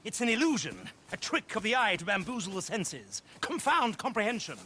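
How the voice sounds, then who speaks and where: A man's voice: sits high, big pitch swings, brisk, bright, forceful tone, a little hiss or hum, exaggerated voice. A man voicing a flamboyant British circus showman in a video game, with grandiloquent, theatrical, plummy delivery. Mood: grand, persuasive. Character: flamboyant male circus showman calling himself Margaret, theatrical con artist. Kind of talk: video game cutscene acting.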